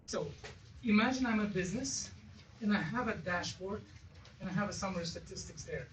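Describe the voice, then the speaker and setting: An audience member offers feedback haltingly, circling back and pressing the point. The voice comes from off the microphone in an echoey space. Clear noise sits behind it. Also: mid-range voice, fairly bright tone. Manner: halting, repetitive, insistent. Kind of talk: spoken feedback at a business meeting. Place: event room with audience. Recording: distant mic, echoey room, clear noise.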